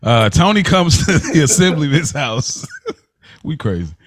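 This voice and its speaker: A man's voice: on the high side, big pitch swings, a little slow, no room sound, clean studio sound. A man co-hosting a podcast, recapping television in casual, slangy, amused conversation. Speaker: podcast co-host.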